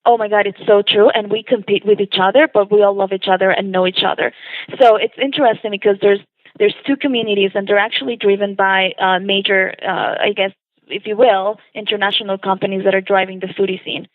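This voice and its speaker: A woman from Colombia chats eagerly about her passion as a phone-in guest. Her voice comes over a thin, narrow phone line with no room sound at all. She laughs easily and talks in long, lively runs. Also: medium pitch, fairly quick, no room sound. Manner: enthusiastic, chatty, laughs often. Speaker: food blogger guest. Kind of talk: phone interview. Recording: tinny dry phone line.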